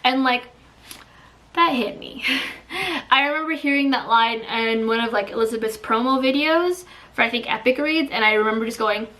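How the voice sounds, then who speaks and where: A woman's voice: a little high, moderate pace, pushed and bright, distant mic. A woman giving a casual spoken book review, chatty and full of "like" fillers. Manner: chatty, filler-heavy with frequent "like".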